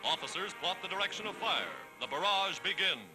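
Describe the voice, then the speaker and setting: A man's voice: high-pitched, expressive, fairly quick, rough recording. An American man reading scripted 1940s newsreel narration in a formal, dramatic, declamatory manner. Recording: vintage 1940s newsreel soundtrack, loud music. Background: loud newsreel music.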